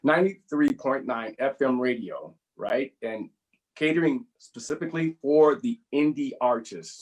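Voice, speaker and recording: Co-host, man, mid-pitched, chatty, promotional, trips over details. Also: darkish tone, low-quality recording. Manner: promotional, stumbling over numbers. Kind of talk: casual interview chat.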